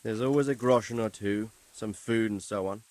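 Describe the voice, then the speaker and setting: A man playing an authoritative, grave nobleman in a video game, speaking formally and articulately with a measured, commanding manner. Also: on the low side, darkish tone, dry recording, natural voice. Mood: measured, explanatory. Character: authoritative nobleman lord, measured, grave, stern about justice. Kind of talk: video game cutscene acting.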